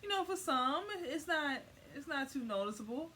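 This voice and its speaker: A woman's voice: high voice, animated, moderate pace, pushed and bright. A young woman giving a casual comic explainer in informal slang, rambling and repeating words for emphasis. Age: young adult. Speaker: vlogger. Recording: faint noise, home recording.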